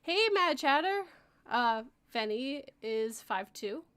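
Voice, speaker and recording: Chatty thirty-something woman, slightly high. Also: lively intonation, takes it slowly, fairly bright tone, clean tone, no room sound. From Canada. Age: 30s. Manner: chatty, laughs often, reads out chat messages. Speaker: podcast host reading chat. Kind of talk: casual livestream chat.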